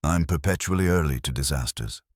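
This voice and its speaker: Guy doing a bitter guarded drinker at a fancy party, sardonic, very low voice, lively intonation, audiobook. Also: brisk, dry recording, studio quality. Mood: defensive, dismissive. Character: bitter, defensive male drinker at a society party.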